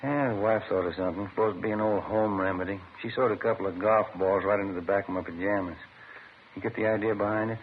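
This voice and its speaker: A man acting as a weary, grumbling married police detective in a 1950s radio crime drama, with a folksy deadpan delivery. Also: on the low side, fast, natural voice. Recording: muffled, faintly hissy vintage radio recording.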